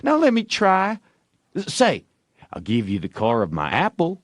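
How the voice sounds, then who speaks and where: Audiobook role: man as excitable young rustic boy, high voice, very animated, folksy speech, teasing or wheedling. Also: a little slow, no room sound, clean studio sound, heavily acted. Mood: eager pleading. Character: young village boy, cocky teasing then eagerly pleading. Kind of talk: audiobook character voice.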